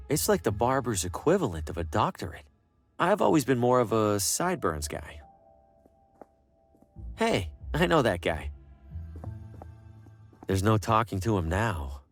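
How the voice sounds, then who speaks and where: An actor playing a bemused, jittery traveling salesman with wry asides in an adventure video game. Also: fairly low pitch. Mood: wry musing. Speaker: player character in adventure game.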